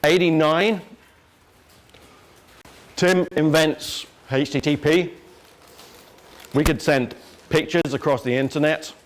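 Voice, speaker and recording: A man's voice: on the high side, steady tone, slow pace, pauses often. A man with an English accent speaking informally to an audience as a guest presenter, with a joking, self-deprecating manner. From England. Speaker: guest speaker from an internet governance organisation. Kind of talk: informal talk with slides. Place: crowded lecture room before an audience.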